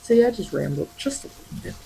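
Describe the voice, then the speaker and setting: A tarot reader giving an improvised ASMR reading, rambling and musing, often halting and circling back to repeat phrases. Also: medium pitch, big pitch swings, gravelly, dark tone, slight background noise, rough recording.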